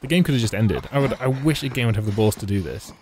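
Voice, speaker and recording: A man's voice: medium pitch, animated, fast, darkish tone, slight background noise. A man giving wry, mocking commentary while playing a video game. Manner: wry, mocking, chatty asides. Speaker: let's play host. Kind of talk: sarcastic gameplay commentary. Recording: game music under voice. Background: game music.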